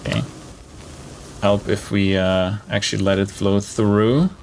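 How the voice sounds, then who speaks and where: A man's voice: lowish voice, little pitch movement, measured pace, creaky, a little mellow, a little hiss or hum. A man giving casual live gameplay commentary, thinking aloud as he plays. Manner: thinking aloud while playing. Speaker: game streamer.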